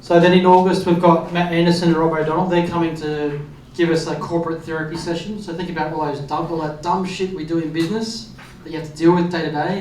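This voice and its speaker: A man's voice: on the high side, a little mellow. A man announcing upcoming meetup sessions in a casual, blunt way with some swearing. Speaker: meetup co-organiser. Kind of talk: event announcements. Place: event space. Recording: echoey room from far off with faint noise.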